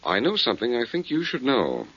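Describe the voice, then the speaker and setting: An actor playing a cool, quick-witted, wry male detective with clipped delivery in a 1940s radio drama. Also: medium pitch, huge pitch swings, a bit bright, husky, full character voice. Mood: sly bluffing. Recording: dull 1940s radio recording.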